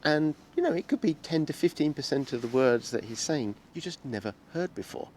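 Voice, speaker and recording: A man hosting a relaxed English-lesson interview, explaining office jargon with everyday examples and laughing often. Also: on the high side, brisk, a little hiss or hum. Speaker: English teacher and host. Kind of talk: casual teaching interview.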